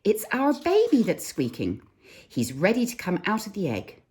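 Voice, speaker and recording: A woman's voice: medium pitch, huge pitch swings, moderate pace, darkish tone, slightly rough, pitched above the performer's own voice. A woman voicing a cheerful, doting father bird in a read-aloud picture book, firm and reassuring. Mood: insistent.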